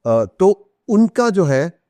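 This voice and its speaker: A man's voice: high-pitched, sing-song, extremely slow, dark tone, no echo. A middle-aged Indian man speaking as a podcast guest, explaining and telling anecdotes conversationally, mixing in English phrases. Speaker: interview guest, author. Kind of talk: conversational storytelling in a podcast interview.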